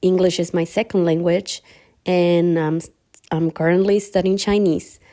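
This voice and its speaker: A young woman from Mexico with a Mexican Spanish accent, a native Spanish speaker, introducing herself in a casual book vlog and speaking slowly and carefully, with hesitant restarts. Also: on the low side, level tone, mellow. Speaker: new book vlogger. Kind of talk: casual first-video introduction.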